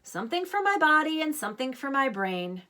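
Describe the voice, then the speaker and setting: Woman as wry preteen girl, bright voice. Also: high-pitched, big pitch swings, a little fast, pitched above the performer's own voice, heavily acted. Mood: defensive. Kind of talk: children's novel read-aloud.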